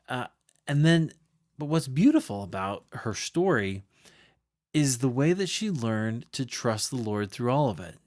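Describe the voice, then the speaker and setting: A man hosting a faith podcast, giving an upbeat, chatty introduction. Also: mid-range voice. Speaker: podcast host.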